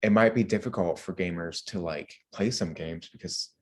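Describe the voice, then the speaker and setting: A man with a low voice chats as a podcast co-host. His delivery is casual and rambling, stopping and starting as he works through each thought with plenty of filler words. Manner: rambling, halting, filler-heavy delivery with many "like"s. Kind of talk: casual group chat.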